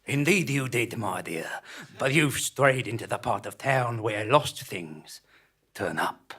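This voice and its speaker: A man playing a menacing, mock-affectionate stalker in an audio drama, with a silky husky voice. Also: mid-range voice, animated, slow, bright, forceful tone, heavily acted. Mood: silky menace. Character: menacing, silky male stalker lurking in lost alleys.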